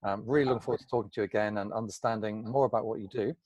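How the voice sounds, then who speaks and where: A man's voice: lowish voice, fairly even intonation, racing, darkish tone, dry recording, muffled recording. A man hosting an informal interview, curious and conversational, thinking aloud as he rephrases his questions. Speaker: interviewer, host.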